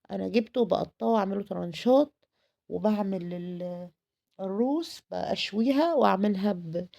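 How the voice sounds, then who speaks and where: An Egyptian woman talks through a home cooking vlog in an effusive, chatty way, stacking repeated words for emphasis. Her voice sounds tired, with a gravelly fry and a mellow, darker tone. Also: medium pitch. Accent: Egyptian Arabic.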